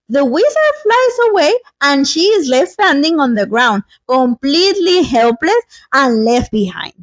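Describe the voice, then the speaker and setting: Female read-along host, very lively intonation, dramatic and slangy, slightly muffled lo-fi recording. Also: high-pitched, no echo. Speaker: host of a read-along English lesson channel. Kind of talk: storybook narration and chatty English teaching.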